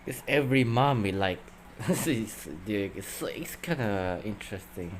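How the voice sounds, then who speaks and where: A man giving casual gameplay commentary in loose, halting, broken phrases. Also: lowish voice, animated, a little hiss or hum. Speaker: gameplay video host. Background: loud game music.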